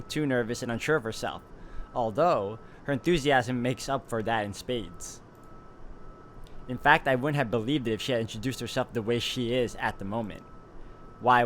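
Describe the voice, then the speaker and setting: A man playing a visual novel, reading its narration aloud in a steady, even way with relaxed asides. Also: mid-range voice, normal speed, slight background noise. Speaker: gaming YouTuber. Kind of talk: reading game text aloud with commentary.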